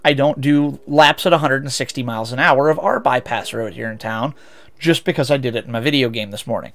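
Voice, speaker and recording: A man's voice: medium pitch, a little fast, vocal fry, fairly bright tone. A young man with a Midwestern American accent, chatting with viewers while streaming a racing game, rambling and correcting himself as he goes. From United States. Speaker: VTuber streamer.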